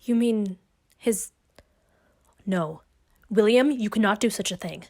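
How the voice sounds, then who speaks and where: A woman's voice: mid-range voice, slow, darkish tone. A woman playing an earnest, pleading young woman in a period tragedy, with formal stage-play diction. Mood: concerned, pleading. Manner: formal, imploring, archaic diction. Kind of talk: stage-play audio drama acting.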